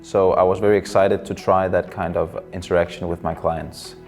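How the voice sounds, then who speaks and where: A Danish man in his twenties, a psychology student and counselor, giving thoughtful interview answers in English as a second language, often pausing with fillers. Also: low-pitched, little pitch movement, darkish tone, faint music behind. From Denmark. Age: 20s.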